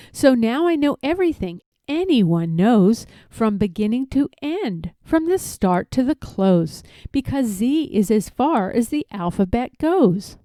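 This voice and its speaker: A woman's voice: medium pitch, warm tone, no echo, clean studio sound. A woman reading a rhyming picture book aloud expressively, drawing out key words for emphasis. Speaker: read-aloud storyteller.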